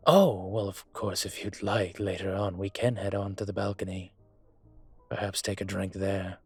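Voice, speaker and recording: A man acting a suave, older, gentlemanly dragon mafia boss in a romantic roleplay audio drama, courtly and teasing, with a husky voice. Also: low-pitched, fairly even intonation, no room sound. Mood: relaxed, proud. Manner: unhurried, courtly, teasing, intimate. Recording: music behind the voice.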